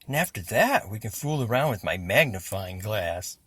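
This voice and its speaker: A man's voice: on the low side, lively intonation, moderate pace, fairly bright tone, breathy edge, no echo. A man voicing a boisterous young boy who eagerly plans spooky fun in a children's storybook. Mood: excited. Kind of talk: children's picture-book reading.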